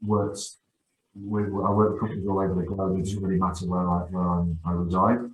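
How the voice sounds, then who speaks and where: A man's voice: deep voice, flat delivery, fairly quick, narrow, tinny audio. A middle-aged man, a youth football coach, talking enthusiastically in a casual podcast interview, often restarting and repeating words. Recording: echoey room.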